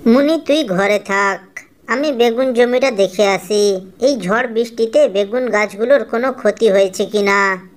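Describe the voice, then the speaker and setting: A woman's voice: mid-range voice, unhurried, lightly acted. A woman voicing a caring, hardworking mother bird in a children's cartoon fable. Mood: gentle, reassuring. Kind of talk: Bengali children's cartoon dubbing.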